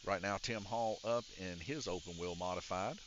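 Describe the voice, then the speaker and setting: A man with a raspy, congested voice casually announcing an RC race at an indoor raceway, rambling through rules with lots of "uh" fillers. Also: low voice, normal speed, highs cut off, background noise. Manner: rambling and explanatory, with many "uh" fillers. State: congested from a chest cold. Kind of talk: casual live-stream commentary. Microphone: wired or wireless handheld mic.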